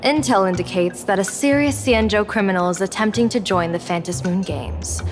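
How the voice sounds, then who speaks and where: A woman voicing an enigmatic, composed general and diviner in a video game, speaking in measured, formal, oracular phrasing. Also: mid-range voice, natural voice. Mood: grave. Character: enigmatic, composed female general and fortune-teller of fate. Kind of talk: scripted video game voice acting. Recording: music under the voice.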